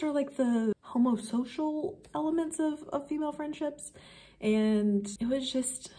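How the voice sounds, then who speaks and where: A woman's voice: mid-range voice, dark tone. A woman talking casually and unscripted as a book vlogger, in a rambling way, feeling out her phrasing as she goes.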